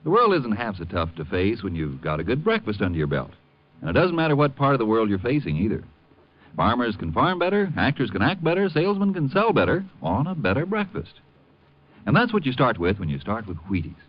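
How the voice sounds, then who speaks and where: A man's voice: medium pitch, wildly swooping pitch, fairly quick. Male American ad announcer: hugely swooping intonation, folksy and emphatic, muffled vintage radio sound. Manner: emphatic, chummy, repetitive. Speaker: commercial announcer. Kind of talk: folksy 1950s radio ad pitch. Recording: dull old radio recording.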